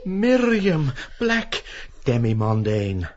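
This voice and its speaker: A woman's voice: a bass voice, big pitch swings, slow, darkish tone, highs cut off, exaggerated voice. A woman playing a submissive, cowed middle-aged man in an audiobook drama, timid and pleading. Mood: sheepish.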